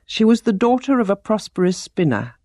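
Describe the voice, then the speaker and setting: A woman narrating a traditional teaching tale as a storyteller, with measured storybook delivery. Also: medium pitch, sing-song, a little mellow, no room sound, studio quality. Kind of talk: scripted narration of teaching tales.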